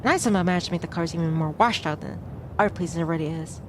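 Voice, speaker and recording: A woman's voice: on the low side, rapid-fire, noisy background, lightly acted. A woman playing a self-doubting, observant young heroine, narrating a visual novel in a glum, confessional first person. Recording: music clearly behind the voice.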